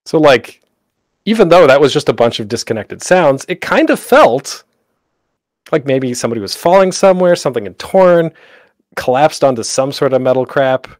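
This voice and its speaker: A man's voice: mid-range voice, sing-song, normal speed, no room sound. Informal man presenting, close and dry. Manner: informal, rambling, self-interrupting. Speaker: panel host teaching audio drama production. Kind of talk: casual instructional presentation. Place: close, dry home recording for an online panel.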